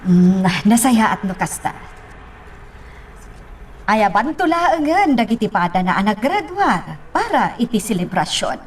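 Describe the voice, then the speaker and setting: Animated radio drama mother, distant mic woman acting. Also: a little high, unhurried, long pauses. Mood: agreeable. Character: mother planning her daughter's graduation party. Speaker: actor. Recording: distant mic, faint noise.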